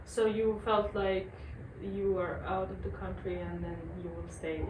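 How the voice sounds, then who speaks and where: Slow-speaking woman interviewer, echoey distant recording. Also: medium pitch, fairly even intonation, background noise. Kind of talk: casual interview. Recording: echoey room, distant mic.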